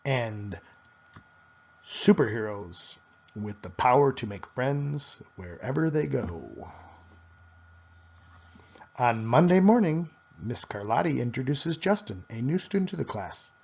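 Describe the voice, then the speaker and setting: A man reads a children's storybook aloud in an expressive storytelling style. His voice sits at an average male pitch and he takes his time at a measured pace. The recording is a little dull and lo-fi. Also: creaky, husky. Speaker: storybook reader. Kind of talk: children's picture book read-aloud.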